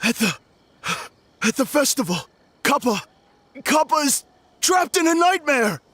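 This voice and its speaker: A man's voice: very high-pitched, big pitch swings, measured pace, bright, forceful tone, no echo, full character voice. An actor voicing a flustered, panicked male official bringing word in an anime game.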